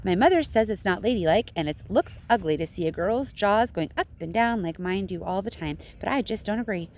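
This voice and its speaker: Woman voicing a brash, boastful young girl, lively pitch. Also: a little fast, slight background noise, close to an everyday voice. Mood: boastful, defiant. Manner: chatty, self-satisfied, excitable. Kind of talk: children's storybook read-aloud.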